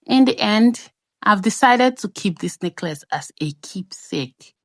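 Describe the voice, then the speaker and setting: A young adult woman with a Nigerian accent tells a personal story in a conversational, expressive way, as a craft vlogger's voice-over. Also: mid-range voice, measured pace, no echo, clean studio sound.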